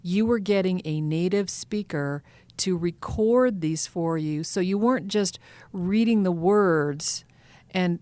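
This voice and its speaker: A woman with a fairly low voice co-hosting a casual podcast conversation. She chimes in with quick agreements, laughs easily, and slows down deliberately when she makes a point. The recording is close and dry. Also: dry recording. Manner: warm interjections, laughs often, slows for emphasis. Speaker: podcast co-host. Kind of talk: conversational chat.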